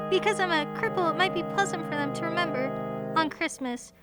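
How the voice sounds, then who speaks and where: A woman's voice: very high voice, normal speed, loud music behind the voice. A woman playing a sweet, frail, earnest little boy in a radio play.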